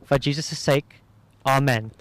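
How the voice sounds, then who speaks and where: A man playing a mild, kindly poor father in a radio play, speaking gently and with measured care. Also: medium pitch, no echo, close to an everyday voice. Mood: warm, devout.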